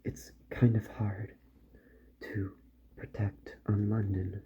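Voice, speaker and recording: A man doing a soft-spoken ASMR roleplay as a calm, methodical repair doctor, musing gently to himself and often drifting into a whisper. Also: on the low side, slow pace. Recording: muffled audio with faint background noise.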